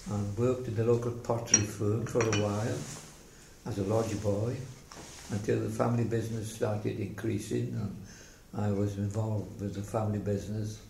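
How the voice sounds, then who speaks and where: An older man with a slight Welsh accent recounts his memories. His voice is gravelly and creaky, and he speaks haltingly and reflectively. The recording sounds like an echoey room with faint background noise. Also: fairly low pitch, little pitch movement. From South Wales. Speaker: interviewee telling life story. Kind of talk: oral history reminiscence.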